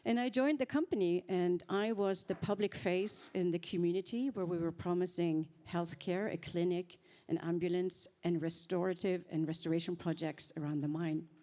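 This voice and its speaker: A woman who speaks on a panel, telling her story in an earnest, reflective way with thoughtful pauses. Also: on the low side, steady tone, dark tone. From Norway. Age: 50s.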